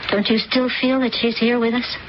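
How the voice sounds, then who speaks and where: A woman's voice: fairly high pitch, level tone, a little fast, lightly acted. An actress playing a hesitant, troubled stepmother in an old radio drama. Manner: hesitant, searching. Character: uneasy stepmother, troubled and intuitive. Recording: dull old radio recording, faint hiss.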